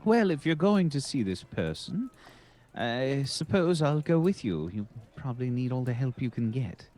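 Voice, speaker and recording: Man, tabletop player in character; dry, measured quips with lively lilt. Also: medium pitch.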